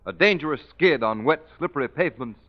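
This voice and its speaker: A man's voice: a little high, animated, quick pace. A man announcing a commercial in formal, emphatic 1940s radio style. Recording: dull vintage radio recording.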